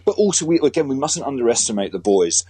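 A man's voice: mid-range voice, big pitch swings, fast talker, warm tone, husky. A British man, a teacher being interviewed, talking earnestly and emphatically in a conversational way. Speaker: school teacher and author, interview guest.

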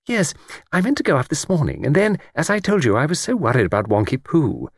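Man voicing a thin, high-voiced old English lady. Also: wildly swooping pitch, fairly quick, vocal fry, breathy edge, dry recording, studio quality, full character voice. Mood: rambling, anxious. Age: older. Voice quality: thin, gentle, quiet voice. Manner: rambling, hesitant, genteel. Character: gentle, fussy old English village lady, chatty. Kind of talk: audiobook character reading.

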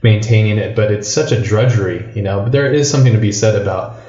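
Low mellow guy from hawaii rambling on a podcast, roomy dull sound. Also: fast. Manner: rambling, loose, many fillers and restarts. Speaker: podcast host. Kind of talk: casual chat.

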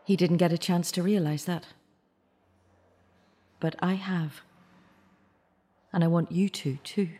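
A woman acting a bereaved, searching mother in an audio drama, naturalistic and halting, with anxious care. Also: fairly low pitch, steady tone, dark tone. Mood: reflective, forgiving.

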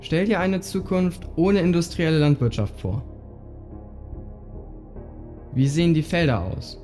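A man's voice: fairly high pitch, animated, normal speed, darkish tone. A man narrating a scripted audio play in short, direct appeals to the listener. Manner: short imperative phrases inviting listeners to imagine. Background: music.

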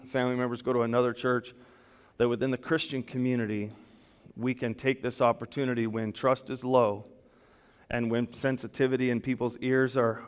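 A man preaching a sermon as pastor, speaking loudly in a conversational way with casual asides, into a microphone in a church. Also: mid-range voice. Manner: loud, conversational, self-deprecating asides. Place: church sanctuary. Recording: long hall reverb in a church.

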